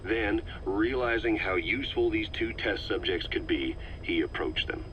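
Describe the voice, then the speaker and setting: An actor playing a calm, middle-aged male agent who methodically explains a conspiracy to the listener on a cassette tape. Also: fairly low pitch, normal speed, a little hiss or hum. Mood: measured. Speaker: intelligence briefer on a cassette tape. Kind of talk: scripted game voice acting. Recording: slightly muffled cassette tape recording.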